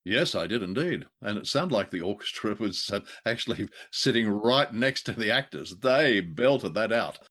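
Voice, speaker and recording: Low-voiced man, halting and conversational. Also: lively intonation, a bit bright. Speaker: podcast co-host. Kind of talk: casual discussion of old radio drama.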